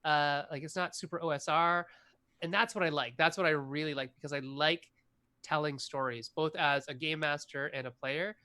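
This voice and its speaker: A man's voice: on the high side, fairly even intonation, normal speed, pushed and bright, clear, resonant tone. A man in his forties, a game designer talking enthusiastically as an interview guest, rambling with lots of fillers. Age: 40s. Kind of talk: casual interview chat.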